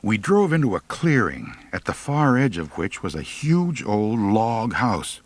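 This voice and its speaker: Male audiobook narrator: wry, theatrical humor, very lively intonation, dry studio-close sound. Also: on the low side, a little slow, dry recording. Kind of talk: expressive humorous first-person storytelling.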